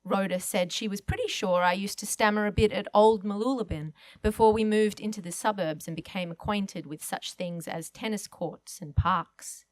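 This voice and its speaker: A woman's voice: medium pitch, moderate pace, few pauses. A woman reading a first-person literary short story aloud as a guest reader at an event.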